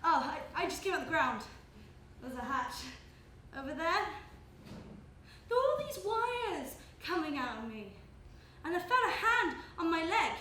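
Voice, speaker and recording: A young woman acting in a live stage play as a gentle, lost woman with no memory, speaking hesitantly and repeating herself. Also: very high voice, very animated, full character voice. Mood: dazed, searching. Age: young adult. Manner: hesitant, halting, repeats phrases. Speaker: student stage actor. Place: indoor theatre stage, live performance. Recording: roomy live stage recording, background noise.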